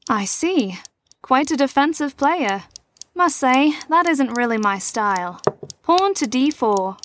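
A woman playing a poised, probing middle-aged mentor in an audio drama, speaking in a formal, theatrical, measured way. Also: high voice, lively intonation, a bit bright, heavily acted. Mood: composed, teasing. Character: poised, probing female mentor and chess player. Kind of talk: scripted audio drama acting.

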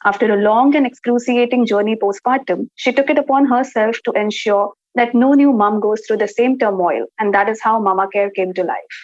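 A woman's voice: on the high side, steady tone, brisk, hardly any pauses, no echo. A woman co-hosting a podcast, encouraging and interviewing a guest. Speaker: podcast co-host. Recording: muffled, dry recording.

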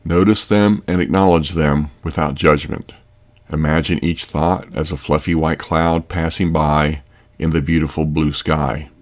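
Really low male voice, calm n gentle, reading a sleep meditation script. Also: measured pace. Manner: calm, soothing, gentle delivery. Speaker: guided meditation narrator.

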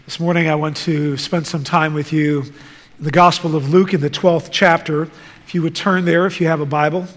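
A man who grew up in the Netherlands, preaching a sermon and reading scripture in a slow, deliberate way. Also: on the high side, fairly even intonation. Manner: slow, deliberate, measured delivery. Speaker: guest preacher. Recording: reverberant church hall.

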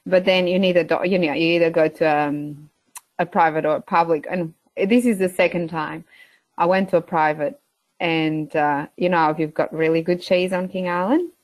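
Woman in her thirties, Portuguese accent, lowish pitch, dry close sound, chatty with lots of ums. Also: steady tone, moderate pace, no room sound. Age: 30s. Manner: hesitant, many fillers, laughs between phrases. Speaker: guest, beef farmer. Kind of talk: casual interview chat.

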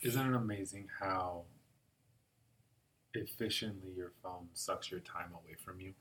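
Young male coach, low voice, earnest, emphatic. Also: measured pace, fairly bright tone, a little hiss or hum, poor audio. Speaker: life coach. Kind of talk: casual chat.

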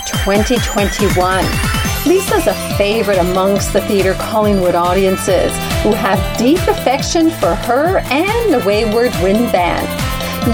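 A woman radio host speaks in a fairly high, very animated voice. She delivers scripted introductions and interview questions, with music playing underneath.

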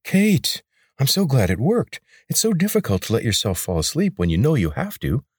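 A man's voice: medium pitch, huge pitch swings, moderate pace, husky, no echo, studio quality, pitched above the performer's own voice. A man voicing an earnest young queen in an audiobook, raising his voice for her.

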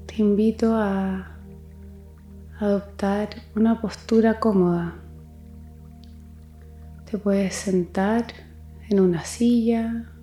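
Slow, mellow woman guiding a meditation. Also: mid-range voice, fairly even intonation, roomy sound. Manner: soothing, gentle, soft-spoken with long pauses. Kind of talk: guided meditation. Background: music.

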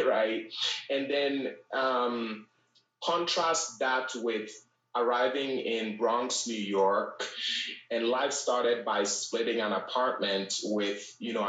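A man's voice: fairly low pitch, fairly bright tone. A man from Ghana, a podcast guest telling his story casually, with fillers and restarts. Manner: conversational, frequent fillers and restarts. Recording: echoey room, distant from mic.

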